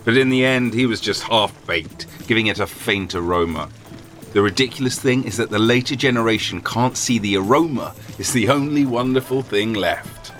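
A man's voice: medium pitch, normal speed, fairly bright tone, faint music behind, pitched above the performer's own voice, lightly acted. A man voicing a grumpy, haughty old swordsman who talks down to everyone, in a storybook reading. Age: older. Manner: haughty, drawling, dismissive.

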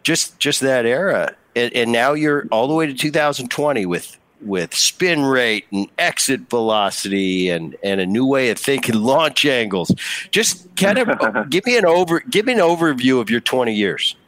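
A man who is a former player hosting a podcast, asking questions in a halting, repetitive, chatty way. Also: mid-range voice, expressive, a bit bright, no echo. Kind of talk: casual interview chat.

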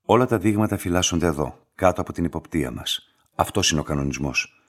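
An actor playing a composed, courteous and enigmatic laboratory director in a radio play, speaking in a measured, formal way. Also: male voice, sits low, animated, breathy edge. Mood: grave, measured. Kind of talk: scripted radio drama dialogue.

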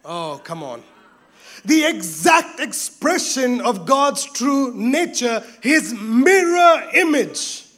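A man preaching a sermon with emphatic repetition, rising to exclamations and dropping to hushed, intense tones. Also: very high-pitched, very animated, slow, soft background music. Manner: emphatic, repeats phrases, drops to hushed tones.

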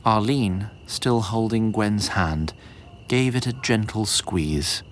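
A man's voice: lowish voice, expressive, takes it slowly, a little hiss or hum. A man narrating scripted audio fiction as a dramatic storyteller.

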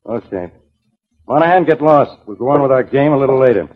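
An actor playing a gruff, irritable police inspector in a 1940s radio detective drama, blustering and impatient, with a husky voice. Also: male voice, mid-range voice, lively intonation, a little fast, lightly acted. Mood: irritated. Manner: blustering, impatient, barking orders. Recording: dull lo-fi 1940s radio recording.

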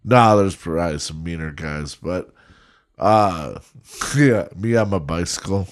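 A man's voice: deep voice, a little slow. A young man co-hosting a podcast, chatting crudely with blunt, sarcastic jokes. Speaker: podcast co-host. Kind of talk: crude casual banter.

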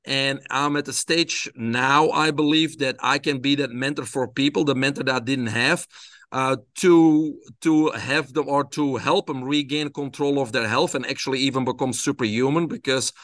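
A man from Belgium, a guest on a podcast, tells his life story in a relaxed way with frequent hesitations. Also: mid-range voice, moderate pace, no echo, studio quality. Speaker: interview guest. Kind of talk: casual storytelling in an interview.

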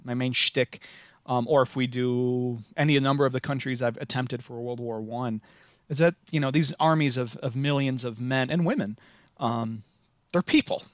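A man's voice: mid-range voice, moderate pace, dry recording. A man in his thirties with a Midwestern American accent, talking enthusiastically as a podcast guest, rambling with many restarts. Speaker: podcast guest, living history reenactor. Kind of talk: casual podcast interview.

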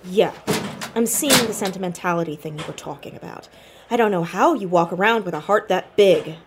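A woman's voice: on the low side, fairly quick, noisy background, close to an everyday voice. A woman playing a curious, dry-witted teenage girl investigator in a naturalistic audio drama. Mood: teasing, wry. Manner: inquisitive, dry, hesitant on personal matters. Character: Max, curious sharp-witted teenage girl sleuth, orphaned.

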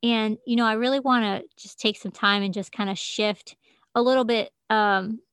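A woman hosting a conversational faith interview in an affirming, gently earnest manner. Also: fairly high pitch, steady tone, normal speed, clean tone, no echo, clean studio sound. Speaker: interview host.

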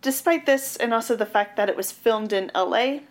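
A woman's voice: on the high side, normal speed. A young woman from Canada, co-hosting a podcast in casual chat, talking in a blunt, wry, conversational way. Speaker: podcast co-host.

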